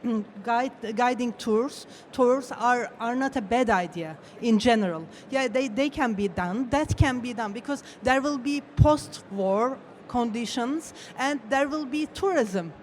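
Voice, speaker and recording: A woman panelist, not a native English speaker, answering off the cuff in a halting way with repeated starts. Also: fairly high pitch. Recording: faint live event noise.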